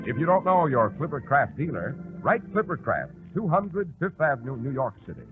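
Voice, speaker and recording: A man's voice: mid-range voice, big pitch swings, moderate pace. An American man announcing and hosting a scripted 1940s radio program. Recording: tinny 1940s radio recording. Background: organ music.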